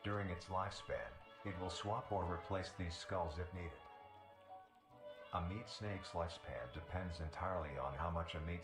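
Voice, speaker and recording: Deep male documentary narrator, formal and detached, roomy echo, music behind. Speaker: narrator of a mock nature documentary video.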